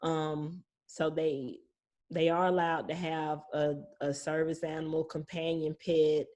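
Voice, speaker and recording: A woman with a slightly low voice talks as a property manager guest. She explains things in a folksy, plain-spoken way, drawing on stories from experience and voicing bits of what people say. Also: little pitch movement, measured pace, a little mellow, dull audio. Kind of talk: conversational expert explanation with anecdotes.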